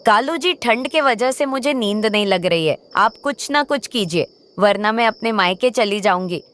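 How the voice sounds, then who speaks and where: A woman's voice: fairly high pitch, expressive, normal speed, a bit bright. A woman voicing a discontented, covetous crow wife in a moral cartoon, with a complaining, coaxing manner. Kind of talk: Hindi moral cartoon voice acting.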